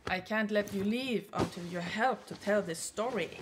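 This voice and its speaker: A woman playing a bossy, matter-of-fact podcast creator in a comedy skit, brisk and deadpan. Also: medium pitch, background noise, close to an everyday voice.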